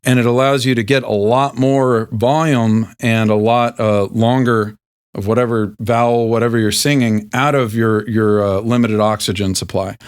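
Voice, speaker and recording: Un hombre con voz grave al hablar, que presenta una lección informal de canto con tono relajado, muchas muletillas y un leve acento del sur de Estados Unidos. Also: male voice, moderate pace, clear, resonant tone. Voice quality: deep speaking voice. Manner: relaxed, self-deprecating, with many fillers and restarts. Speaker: host. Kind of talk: casual singing lesson.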